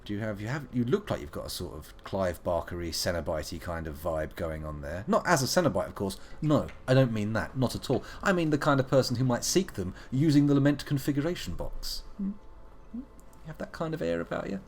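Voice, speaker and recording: A young man commenting on a game he is playing live. His voice bounces lively between high and low as he jokes and mutters to himself, and a faint hum of room noise sits behind him. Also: a little fast. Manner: playful, joking, muttering asides. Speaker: streamer playing a video game.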